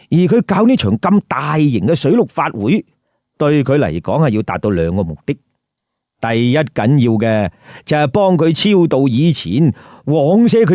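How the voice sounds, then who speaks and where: A man's voice: on the high side, a little mellow, no echo, clean studio sound. A man telling a comic story in a theatrical, emphatic way with rhetorical questions. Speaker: storyteller, host. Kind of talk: lively comic Cantonese storytelling.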